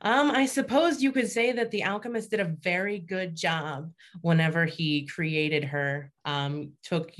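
A woman with a notably deep voice talks as a guest author in an interview. Her explanations are conversational yet articulate, laid out in thoughtful, measured phrases. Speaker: fiction writer, interview guest.

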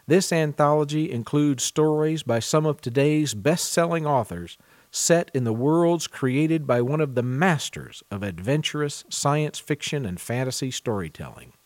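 A man's voice: fairly high pitch, expressive, a little slow, darkish tone, no room sound. A man presenting a book podcast as its host, reading scripted segments and putting questions to a guest. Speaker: podcast host, contributing editor. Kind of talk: scripted podcast introduction and news reading, then interviewing.

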